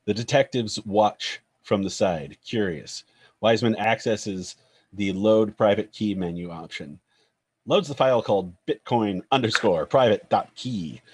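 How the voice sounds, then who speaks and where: A man's voice: fairly low pitch, unhurried, darkish tone, breathy edge. A man narrating a screenplay's stage directions in a scripted table-read style.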